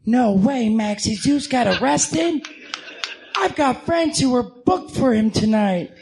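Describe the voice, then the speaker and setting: A man voicing a flirty, worldly female prostitute in a comic-book radio drama, with a creaky, teasing drawl. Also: lively intonation, slow, darkish tone, full character voice. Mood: chatty, flirty. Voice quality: creaky, high.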